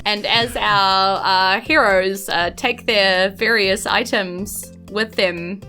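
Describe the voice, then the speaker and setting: A woman's voice: medium pitch, steady tone, slow, sharp tone, soft background music. A woman with a New Zealand accent, chatting and narrating as the game master and host of a podcast.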